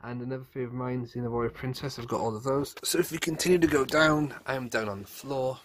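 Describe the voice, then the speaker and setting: A man's voice: medium pitch, fairly even intonation, quick pace, crowd noise. A man in his thirties narrating a room tour in a casual, chatty, off-the-cuff way, recorded on a handheld phone at home. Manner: chatty, off-the-cuff, self-correcting. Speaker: vlogger, collector. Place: small rooms at home, indoors. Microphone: handheld phone, held by the speaker.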